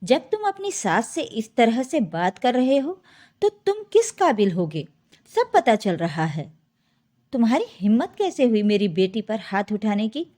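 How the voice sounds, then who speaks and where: A woman's voice: a little high, sing-song, measured pace, mellow. A woman voicing an indignant middle-aged father who scolds and defends his daughter in a story. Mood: stern warning. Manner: scolding, outraged.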